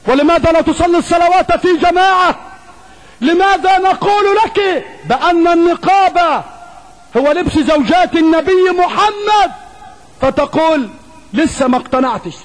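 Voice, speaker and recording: A man's voice: very high-pitched, slight background noise, low-quality recording. A man with an Egyptian accent preaching an Islamic sermon in an emphatic, rhetorical way, repeating phrases and posing questions. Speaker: preacher giving a Friday sermon.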